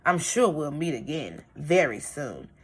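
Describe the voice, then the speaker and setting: A woman's voice: low voice, very animated, a little slow, voice pushed down, heavily acted. A woman voicing a sly, greedy male wolf with mock-polite manners in a storybook read aloud. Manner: smooth-talking, mock-polite.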